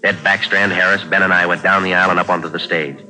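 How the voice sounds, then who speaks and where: An American actor playing a terse, businesslike police sergeant who also narrates, in a 1940s radio crime drama. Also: male voice, low-pitched, level tone, fast, sharp tone, rough recording. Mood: brisk, businesslike. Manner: clipped, businesslike. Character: terse, matter-of-fact male police sergeant detective. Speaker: police detective lead and narrator. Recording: vintage 1940s radio recording.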